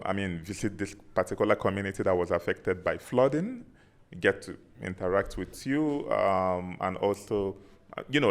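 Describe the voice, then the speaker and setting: A man from southeastern Nigeria introducing himself in a relaxed, friendly way, with many pauses and fillers. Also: deep voice. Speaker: visiting speaker at a community meeting. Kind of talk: casual self-introduction.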